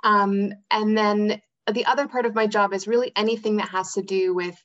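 A woman's voice: medium pitch, fairly even intonation, normal speed. A woman, an outreach manager, chatting upbeat about her theatre work as an interview guest. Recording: dull lo-fi sound.

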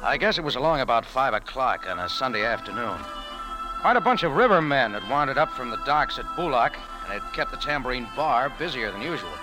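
A tough American saloon keeper played by a man from 1940s radio drama. His medium-pitched voice moves in lively swings, wry and brusque, like a man who has seen every kind of trouble. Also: brisk, music in the background, close to an everyday voice, low-quality recording. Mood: wry narrating. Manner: wry, hard-boiled, brusque. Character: tough, wry American cafe owner in Cairo. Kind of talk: 1940s radio acting with first-person narration.

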